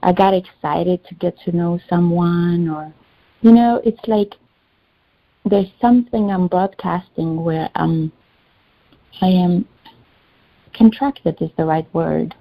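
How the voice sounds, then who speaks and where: A woman's voice: fairly low pitch, slow pace, creaky, pauses often, dry recording. Hesitant woman, Albanian native, dull audio. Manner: hesitant, searching for words, many fillers. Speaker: client in a healing session. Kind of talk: personal sharing in a one-on-one session.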